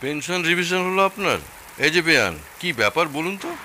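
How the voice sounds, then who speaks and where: An actor playing a teasing, sceptical older in-law in an audio drama, questioning and wry. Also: male voice, a little high, animated, normal speed, sharp tone, slight background noise. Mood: puzzled, curious. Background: rain.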